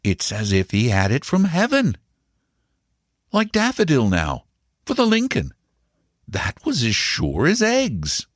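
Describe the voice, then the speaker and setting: A man's voice: a little high, wildly swooping pitch, takes it slowly, fairly bright tone, no room sound, studio quality, pitched above the performer's own voice, heavily acted. A man voicing a respectful, confiding male servant in a storybook, reverent about his master. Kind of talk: storybook character dialogue.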